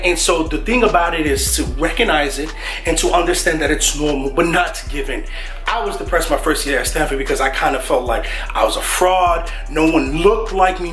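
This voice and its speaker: A man's voice: on the high side, a bit bright. A young man with a New York accent giving casual, streetwise motivational advice as a channel host. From New York City. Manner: casual, streetwise, says "right?" often. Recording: echoey room with faint music.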